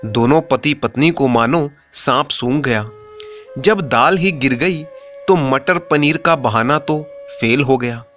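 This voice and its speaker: Guy reading a funny moral tale, really unhurried, music playing behind him. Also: medium pitch, fairly bright tone. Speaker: storyteller. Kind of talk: scripted comic moral story narration.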